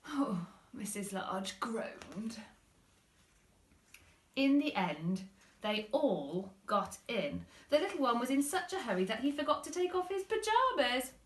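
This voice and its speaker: A woman reading a children's story expressively, with deliberate phrasing, in a roomy-sounding recording. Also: fairly high pitch, big pitch swings. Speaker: primary school teacher reading stories.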